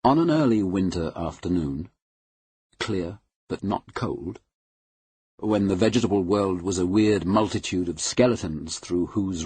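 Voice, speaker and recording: A man reading a classic novel aloud in a measured, unhurried and formal way. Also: deep voice, warm tone, slightly rough, no room sound. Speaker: audiobook reader. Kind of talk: scripted literary narration.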